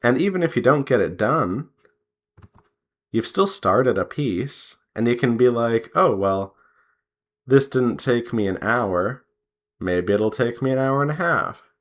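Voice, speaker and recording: A man chatting casually as he draws, rambling in an unhurried way and pausing mid-sentence. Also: mid-range voice, animated, a bit bright. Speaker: artist and devlog host. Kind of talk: casual chat while drawing.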